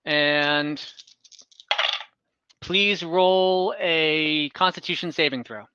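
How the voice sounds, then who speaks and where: A man's voice: fairly high pitch, very slow, sharp tone, dull audio, a little hiss or hum. A man acting as game master of an online tabletop game, explaining rules with many hesitations and fillers. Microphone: computer mic on a video call.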